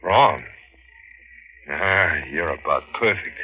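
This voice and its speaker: An older fishing skipper in a vintage radio thriller, his voice low and swinging widely in pitch. He is intense, halting and haunted by guilt, a jealous, pleading husband heard on a dull old recording. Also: animated, husky, full character voice. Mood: tender pleading. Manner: intense, halting, dramatic delivery. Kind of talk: 1940s radio acting, first-person narration and dialogue.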